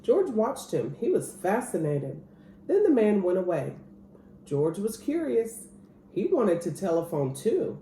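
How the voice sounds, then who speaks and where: A woman reading a children's picture book aloud as an expressive storyteller. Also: mid-range voice, a little slow. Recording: roomy echo, clear background noise.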